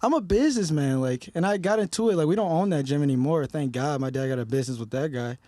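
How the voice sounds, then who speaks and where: Young Detroit man, rapper guest, heated and emphatic, slightly high, dry close mic. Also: fast talker, warm tone, no echo. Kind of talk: casual podcast conversation.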